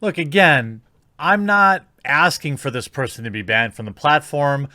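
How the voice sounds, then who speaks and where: A man's voice: fairly high pitch, normal speed, sharp tone, no room sound, clean studio sound. A man giving opinionated video commentary in an indignant, informal, sarcastic manner. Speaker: YouTube commentator.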